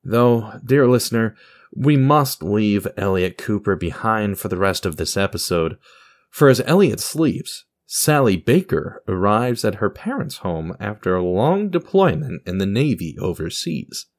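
A man's voice: on the low side, very animated, a little slow. A man narrating a scripted audio drama in a theatrical, storybook style, with a wry touch.

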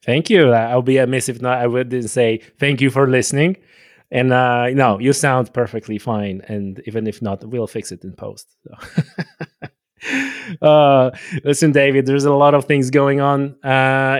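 A man's voice: mid-range voice, dry recording, clean studio sound. A man hosting a podcast interview, talking casually and rambling with many fillers as he thinks aloud. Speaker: podcast host.

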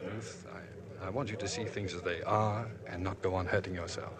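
An actor playing an earnest young priest, speaking in a gentle, restrained, steady way in a 1950s radio drama. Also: male voice, sits low, brisk, lightly acted. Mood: firm. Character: earnest young male priest, torn but firm. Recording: old broadcast with crowd behind.

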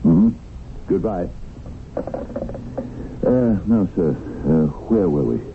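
An actor in a 1970s radio drama playing a smooth-talking crooked land dealer who needles coyly while feigning innocence. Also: male voice, sits low, animated, extremely slow, full character voice. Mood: businesslike, ingratiating. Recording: tinny band-limited old radio broadcast, hissy.

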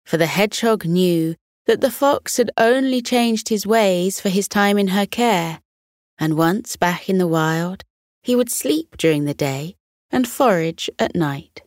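A woman narrating a scripted children's bedtime story in a gentle, soothing manner. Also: mid-range voice, no echo, clean studio sound.

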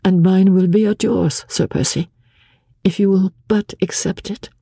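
A reader voicing an anxious young noblewoman who pleads with her husband in quick, nervous speech. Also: medium pitch, steady tone, creaky, warm tone, slightly rough, no room sound, studio quality, voice pushed up. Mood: feverish, pleading. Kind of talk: audiobook character voice.

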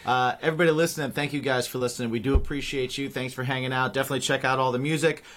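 Male co-host, mid pitch, relaxed, chatty sign-off voice. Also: racing. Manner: easygoing, agreeable, chatty. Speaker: podcast co-host. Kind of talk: casual podcast chat.

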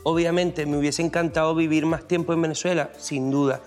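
Young man, reflective and hesitant, fairly high voice, soft music behind. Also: fairly even intonation, fairly quick. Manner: thoughtful, hesitant, many ums. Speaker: son, guest. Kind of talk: family podcast conversation.

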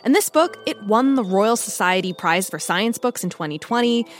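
A woman's voice: medium pitch, lively intonation, moderate pace, faint music behind. An American woman hosting a podcast with scripted narration. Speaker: podcast host.

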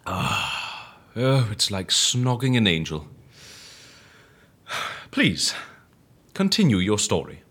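A performer playing a flamboyant, sardonic, eccentric investigator in an audio drama, husky and theatrical, given to teasing and exclamation. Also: low-pitched, very animated, slow pace, pauses often, fairly bright tone, full character voice. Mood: astonished, amused.

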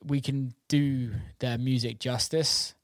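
A man speaks at a middling pitch with a dry, close sound. He is a casual interviewer, informal and sweary, with an enthusiastic way of talking. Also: fairly even intonation, slow pace, no echo. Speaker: interviewer, DJ and label owner.

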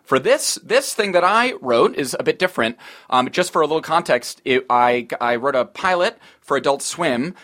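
A man's voice: on the high side, lively intonation, moderate pace, fairly bright tone. A man co-hosting a podcast in casual chat, talking in a wordy, effusive, hedging way about writing. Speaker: podcast co-host and comedy writer.

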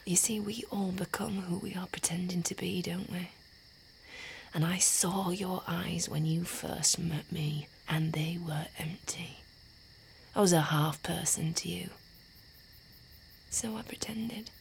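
A woman in an audio drama speaking in a soft, intimate whisper. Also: fairly low pitch, steady tone, measured pace, a little hiss or hum, poor audio. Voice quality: breathy.

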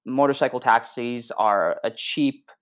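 A man's voice: mid-range voice, a little slow, a bit bright, smooth. A man who is a startup CEO, answering interview questions plainly and matter-of-factly. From United States.

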